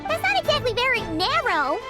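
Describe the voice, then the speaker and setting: A woman voicing a tiny, cheeky, excitable childlike companion creature in a video game. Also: very high voice, a little fast, loud music behind the voice.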